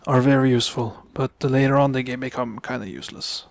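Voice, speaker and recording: A man's voice: mid-range voice, fairly even intonation, creaky. A young man from Norway, speaking English as a second language, giving casual gameplay commentary and often stopping to correct himself. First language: Norwegian. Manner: halting, self-correcting, mutters asides under his breath. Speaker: gaming commentator.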